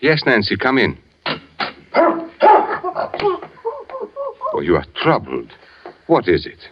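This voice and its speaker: An actor playing a gentle, brooding blind composer who speaks deliberately with haunting pauses in 1940s radio drama style. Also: male voice, on the low side, big pitch swings, slight background noise. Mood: gentle, concerned. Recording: muffled old radio recording with music.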